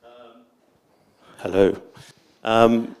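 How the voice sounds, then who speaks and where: A man from Britain speaks up from the crowd, friendly and chuckling. His voice is lowish and sounds a little distant from the mic. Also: fairly even intonation, very slow, creaky, darkish tone, clear, resonant tone. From United Kingdom. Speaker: audience member.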